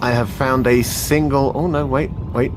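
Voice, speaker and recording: A man's voice: mid-range voice, steady tone, moderate pace, crowd noise. A man grumbling dryly while playing a game with a friend. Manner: dry, grumbling, self-deprecating. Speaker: co-player. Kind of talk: casual gaming chat.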